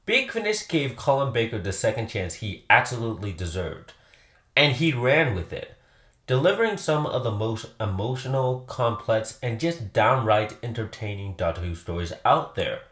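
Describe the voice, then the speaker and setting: A man's voice: lowish voice, very animated, normal speed, a bit bright, reverberant. A man presenting a scripted countdown review with emphatic, enthusiastic delivery. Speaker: host presenting a ranked countdown.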